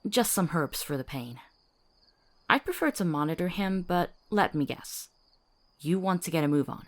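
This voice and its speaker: A woman's voice: on the low side, lively intonation, moderate pace. A woman playing a principled, capable healer in a fantasy drama, articulate, authoritative and dryly witty. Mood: practical. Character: firm, principled female healer, capable and wry. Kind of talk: fantasy audio drama acting.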